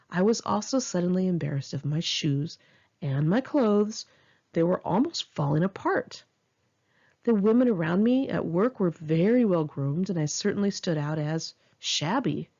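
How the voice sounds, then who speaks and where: Low-voiced, animated woman reading her memoir. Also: highs cut off. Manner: candid, wry, conversational, profane. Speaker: author reading own memoir aloud. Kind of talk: informal first-person audiobook narration with asides.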